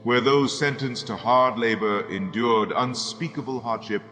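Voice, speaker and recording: A man's voice: mid-range voice, steady tone, measured pace, a bit bright, lightly acted. Guy acting a grave soldier narrator for an audio drama, earnest speechy delivery, big long reverb, music under it. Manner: solemn, earnest, oratorical. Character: solemn former slave and deserter soldier, storytelling host. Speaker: host and narrator.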